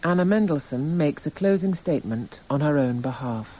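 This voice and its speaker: An actor narrating a courtroom radio drama in a sober, scripted documentary style. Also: male voice, fairly high pitch, lively intonation, normal speed, mellow. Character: sober male narrator announcing stages of a trial. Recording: muffled, hissy radio drama recording.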